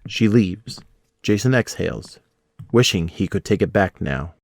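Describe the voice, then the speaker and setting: Low-voiced male narrator, dry sound. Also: lively intonation, measured pace, no room sound. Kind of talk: scripted audio drama narration.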